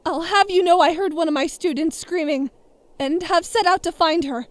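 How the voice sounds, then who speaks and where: A woman's voice: extremely high pitch, moderate pace, slight background noise. A woman voicing an earnest, anxious young schoolteacher with emotional defiance in a horror game dub. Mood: defiant, pleading. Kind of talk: dramatic fan game dub voice acting.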